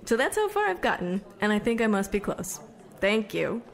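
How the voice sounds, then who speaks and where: Lively, rambling young repairwoman. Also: mid-range voice, normal speed, vocal fry, heavy background noise. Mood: proud, earnest. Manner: rambling, conversational storytelling. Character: chatty, proud young woman who repairs sewing machines. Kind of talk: audio drama monologue.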